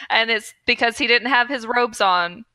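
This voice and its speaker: A woman's voice: a little high, moderate pace, pushed and bright. A woman co-hosting a podcast in a casual, chatty style. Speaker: podcast co-host.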